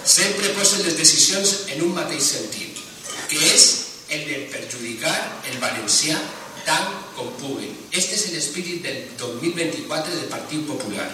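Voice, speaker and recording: A man's voice: on the high side, animated, measured pace, recorded from a distance, noisy background. A man speaking as an opposition councillor in a council debate, indignant and insistent. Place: council chamber. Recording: echoey council chamber from afar.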